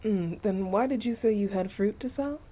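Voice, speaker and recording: A woman's voice: mid-range voice, normal speed, dark tone, lightly acted. A woman playing an anxious, solicitous mother goddess in a radio play. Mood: guarded, polite. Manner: solicitous, probing, insistent. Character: fussing, anxious mother, a grieving harvest goddess.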